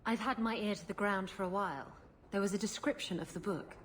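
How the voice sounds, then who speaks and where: A woman's voice: mid-range voice, a little fast, slight background noise, close to an everyday voice. An actress playing a sarcastic, evasive female pirate rogue. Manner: teasing, evasive.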